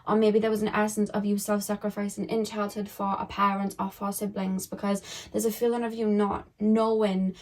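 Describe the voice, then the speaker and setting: A woman giving a casual tarot reading to her viewers. Her voice is hoarse and croaky, and she talks in a chatty, rambling way, full of "um" and "like". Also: medium pitch, level tone, brisk, hardly any pauses. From United Kingdom. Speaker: tarot card reader.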